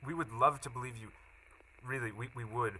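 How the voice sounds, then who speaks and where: Actor as sharp-edged, apologetic company man, noisy sound. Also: mid-range voice, lightly acted. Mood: apologetic, awkward. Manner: hesitant, halting, polite. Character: awkward, apologetic male company official, evasive and callous. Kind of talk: naturalistic audio drama acting.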